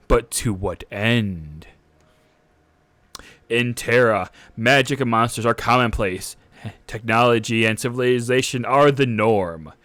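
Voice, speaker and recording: Young male DM, unhurried and casual, reads lore in a close, dry booth sound. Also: mid-range voice, fairly bright tone, dry recording. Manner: unhurried, casual with frequent "uh" and "all right". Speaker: dungeon master. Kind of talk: game master reading a setting synopsis to a live stream.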